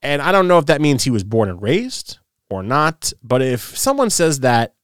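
A man's voice: medium pitch, big pitch swings, normal speed, clear, resonant tone, no echo, studio quality. A man, ranting in a casual podcast chat, stumbling and restarting often. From New York City. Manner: ranting, many restarts and repeats. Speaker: podcast host.

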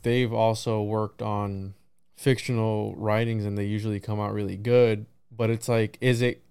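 Male podcast host, clear resonant voice, loose and rambling conversational delivery, very dry room sound. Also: medium pitch, a little mellow, no echo. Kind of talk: casual conversational interview.

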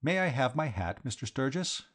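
A man voicing a flustered young gentleman, defensive yet meek and polite, in a comic storybook reading. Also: mid-range voice, very animated, normal speed, voice pushed up. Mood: politely assured.

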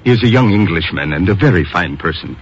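A grave, courteous laboratory doctor speaks low and formally in a 1950s radio play. He is earnest and measured. The old recording is muffled, with light hiss. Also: animated. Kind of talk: 1950s radio drama acting.

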